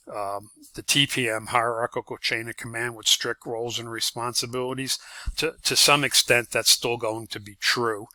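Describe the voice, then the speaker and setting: A man giving an informal lecture as an instructor, explaining point by point in a halting delivery. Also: mid-range voice, bright, forceful tone, no echo.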